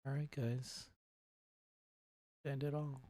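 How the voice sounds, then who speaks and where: Guy doing a chill lets play, talks slow and informal, normal pitch, dry sound no echo. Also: level tone, warm tone. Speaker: video game streamer. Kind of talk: casual let's play commentary.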